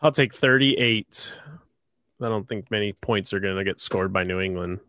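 A man's voice: lowish voice, normal speed, sharp tone. A stuffed-up man hosting a casual sports podcast, rambling with lots of fillers. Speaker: podcast host.

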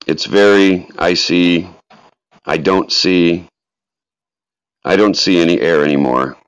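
A man voicing an anguished woman in danger, pleading and halting, in a dramatic reading of last phone calls. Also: sits low, clear, resonant tone, no echo, lo-fi sound. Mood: frightened.

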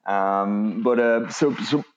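A man from France speaks with a French accent in a very low voice, as a podcast guest telling his story. He stops and restarts often, searching for words. The sound is muffled and lo-fi. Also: slow pace, clean tone. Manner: hesitant, many restarts and fillers. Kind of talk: casual interview talk. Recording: dull lo-fi sound.

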